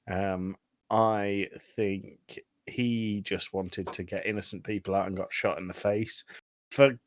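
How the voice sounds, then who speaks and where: Male podcast host, lowish voice, dry close sound, jokey and sardonic film chat. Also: dry recording. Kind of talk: casual film discussion podcast.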